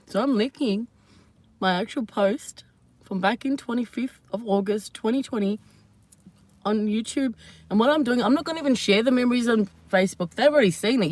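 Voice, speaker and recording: A woman hosting her own channel, chatting casually and at length to her viewers, slightly hoarse, rambling and laughing often. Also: on the high side. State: hoarse from screaming. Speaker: channel host. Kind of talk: casual live vlog chat to viewers.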